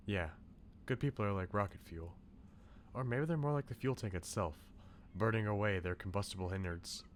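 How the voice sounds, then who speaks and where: A man reading a brooding, self-sacrificing young man's inner thoughts from a visual novel, low and subdued. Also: a little hiss or hum, natural voice. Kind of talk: visual novel read-aloud.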